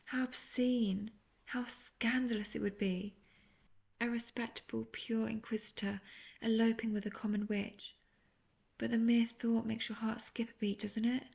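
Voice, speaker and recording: Woman acting a creaky-voiced, obsessive lovestruck witch. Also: medium pitch, a little mellow, close to an everyday voice. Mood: seductive, coaxing.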